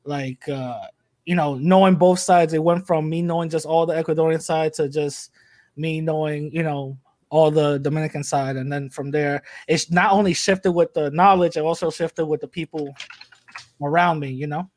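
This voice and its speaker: A man's voice: fairly high pitch, fairly even intonation, normal speed, darkish tone, no room sound. A young man, chatting casually as a podcast guest, joking and rambling with many fillers. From New York City, USA. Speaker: podcast host, interviewee. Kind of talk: casual interview chat.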